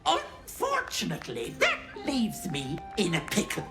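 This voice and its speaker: A woman's voice: mid-range voice, wildly swooping pitch, measured pace, loud music behind the voice. A woman playing a gleefully cruel, pregnant old hag in a video game, theatrical, taunting and menacing. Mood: gloating, mocking, then mock-reasonable, menacing. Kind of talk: video game villain acting.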